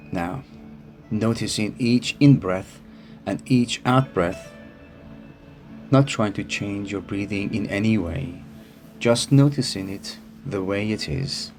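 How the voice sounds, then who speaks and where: A man narrating a guided meditation in a calm, soothing manner with unhurried phrasing. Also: on the low side. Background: music behind the voice.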